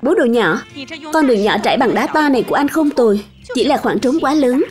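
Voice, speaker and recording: High-voiced, encouraging cartoon mother. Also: lively intonation, brisk, a little mellow. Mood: gently approving. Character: kindly, encouraging young mother of a little boy. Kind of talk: cartoon dub acting. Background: background music.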